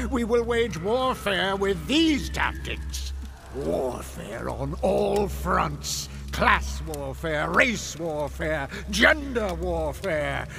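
An actor playing a theatrical senior demon tempter who schemes with villainous relish in an audio drama. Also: male voice, high-pitched, creaky, noisy background, exaggerated voice.

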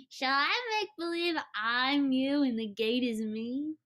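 A woman's voice: high-pitched, slow, a bit bright. A woman playing a mischievous, bratty little girl with a childish, wheedling manner in an old-time radio comedy. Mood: mischievous, innocent.

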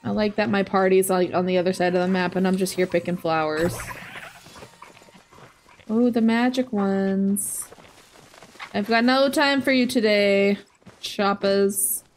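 A young woman in her twenties chatting casually while she plays a game on stream, thinking aloud through a headset mic, her voice a bit raspy today. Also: medium pitch, unhurried, long pauses, a little hiss or hum. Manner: chatty, thinking aloud, soft asides to chat. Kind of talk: casual gameplay commentary.

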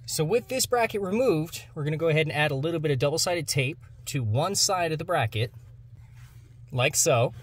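A young American man giving instructional how-to narration as host of a DIY car channel. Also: fairly high pitch. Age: young adult. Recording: clear background noise, clicks and jangling.

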